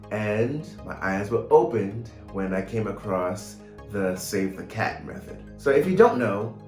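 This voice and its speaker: A man in his thirties talking casually to camera in a vlog, measured, pausing between phrases. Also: lowish voice, darkish tone. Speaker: writer and vlogger. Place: small home room, indoors. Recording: roomy echo, light music behind.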